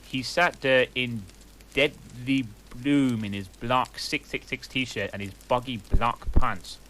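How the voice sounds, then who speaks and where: A man's voice: medium pitch, steady tone, slow pace, a bit bright. A man reading a story aloud in a comic, mock-earnest manner, with a fireplace crackling behind him. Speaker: reader.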